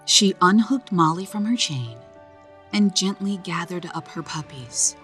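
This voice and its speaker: A woman reading a children's story aloud in a slow, gentle storytelling manner. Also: lowish voice, animated, faint music behind. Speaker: narrator. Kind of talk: children's read-aloud narration.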